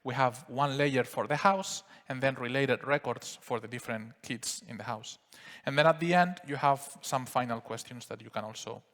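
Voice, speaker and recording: A man with a Spanish accent giving a relaxed live software demo at a conference, explaining steps with frequent fillers. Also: mid-range voice, normal speed. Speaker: software presenter. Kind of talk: live product demo talk. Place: conference hall. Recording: reverberant conference hall.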